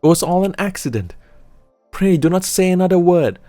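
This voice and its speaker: A man's voice: high-pitched, lively intonation, warm tone, no room sound, faint music behind, pitched above the performer's own voice. A man putting on a pleading, frightened young lady. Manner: pleading, breathless and hurried.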